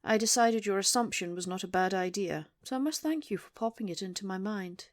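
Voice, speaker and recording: A woman's voice: mid-range voice, brisk, no echo, close to an everyday voice. Actress as imperious female boss, cold, formal, precise, menacing, dry close recording, audio roleplay. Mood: formal, commanding.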